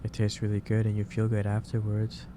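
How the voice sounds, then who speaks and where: Male, low-pitched, gentle boss roleplay in ASMR style, halting advisory delivery, over clearly noisy ambience. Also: monotone. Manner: hesitant, halting, pausing mid-sentence, gently advising. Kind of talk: soft ASMR roleplay, improvised monologue to the listener.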